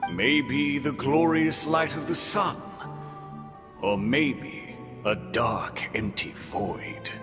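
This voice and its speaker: Grave theatrical game narrator, highish male voice, widely swinging intonation, solemn, poetic. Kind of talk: dramatic scripted monologue. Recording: long hall reverb, loud music underneath.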